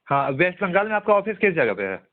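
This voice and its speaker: A man's voice: high voice, very animated, fast. A man from India questioning someone over the telephone as a journalist, hesitant, polite but openly doubting. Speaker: journalist questioning a suspected scammer. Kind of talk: questioning phone conversation. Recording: tinny narrowband phone call recording.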